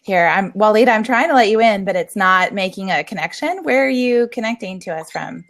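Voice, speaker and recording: A woman speaks as the host of an online meetup, at a middle pitch. Her delivery is casual and chatty, like someone running an informal live video chat. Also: lively intonation, a little fast, a bit bright.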